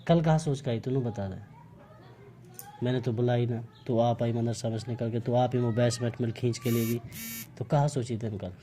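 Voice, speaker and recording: A man's voice: mid-range voice, steady tone, moderate pace, mellow, a little hiss or hum. A man with a Mewati accent argues reproachfully in a private phone conversation, asking one pointed question after another. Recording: amateur phone call recording.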